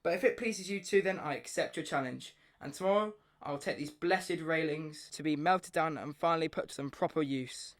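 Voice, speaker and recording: A man's voice: a little high, normal speed, few pauses, close to an everyday voice. Pompous, scornful army officer played by a man. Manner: pompous, condescending. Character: scornful, impatient city military man, a sceptical Major. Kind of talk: radio play acting.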